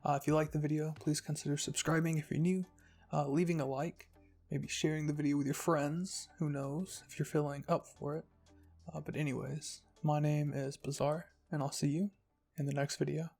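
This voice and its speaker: A narrator with a husky, creaky voice reading fan fiction in a soft scripted storytelling style. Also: medium pitch, flat delivery, moderate pace. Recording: music behind the voice.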